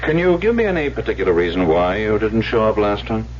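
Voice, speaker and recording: A muffled, lightly hissing old radio broadcast features a formal psychiatrist. The man's deep voice is measured and patient, probing with careful, composed questions. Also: big pitch swings. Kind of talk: old-time radio drama acting.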